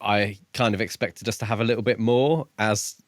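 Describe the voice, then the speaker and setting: A man's voice: on the low side, steady tone, moderate pace, dry recording, studio quality. A man co-hosting a podcast, recapping and casually discussing a book. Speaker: podcast co-host. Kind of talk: casual chat.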